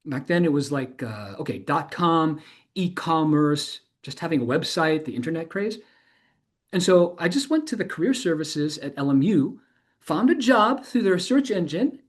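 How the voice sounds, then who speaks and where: An actor playing an enthusiastic, informal university instructor in a conversational monologue, animated and rambling, often interrupting themselves. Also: mid-range voice, natural voice. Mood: nostalgic, enthusiastic.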